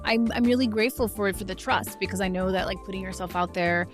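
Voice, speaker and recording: A woman's voice: medium pitch, quick pace, over music. A woman hosting a podcast in a chatty, filler-heavy way, often using "like". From immigrant to the US. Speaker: podcast host. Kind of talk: casual interview chat.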